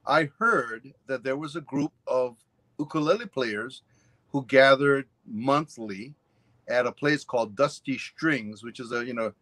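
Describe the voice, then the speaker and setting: An older man from Hawaii talks over a webcam call from his home, rambling through memories with plenty of fillers and side trips. His voice sits at a middle pitch, and the sound is dry and close, with no room echo. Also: unhurried, a bit bright. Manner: rambling storyteller, many fillers and asides. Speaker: ukulele teacher, guest. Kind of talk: casual interview chat. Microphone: webcam on a video call.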